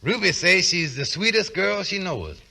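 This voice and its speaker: A man acting a friendly, sensible, plain-spoken married fellow with a creaky voice in 1940s radio comedy. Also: high-pitched, big pitch swings, moderate pace, sharp tone, heavily acted. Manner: folksy, plain-spoken, helpful. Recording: 1940s radio broadcast recording.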